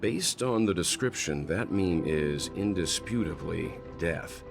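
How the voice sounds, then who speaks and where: Casting: mature game investigator, deep register, deliberate and courteous delivery, composed temper. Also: male voice, expressive, creaky, over music, full character voice. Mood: grave, measured. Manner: measured, deliberate, formal phrasing. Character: composed, thoughtful mature man, an investigator-traveller. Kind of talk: video game voice acting.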